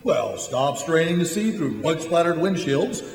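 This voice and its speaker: An actor playing an eager old-time radio sponsor announcer, emphatic and theatrical, reading in vintage commercial style. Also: male voice, a little high, expressive, unhurried. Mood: salesmanlike. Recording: echoey hall, loud music behind.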